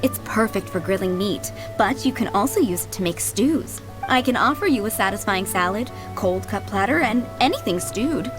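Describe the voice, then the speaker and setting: A woman voicing a kindly, middle-aged head maid in a video game, polite, doting and gently chatty. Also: medium pitch, expressive, normal speed, hardly any pauses, lightly acted. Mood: helpful, polite. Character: kindly motherly head maid, fondly remembering boys she raised. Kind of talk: video game character acting. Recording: background music under the voice.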